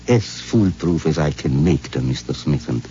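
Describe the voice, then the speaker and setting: An actor playing a smooth, knowing puppet maker who speaks in a suave, sing-song way in a 1950s radio drama. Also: male voice, rumbling low, expressive, a little slow, mellow, a little hiss or hum. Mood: sly. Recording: dull old radio broadcast recording.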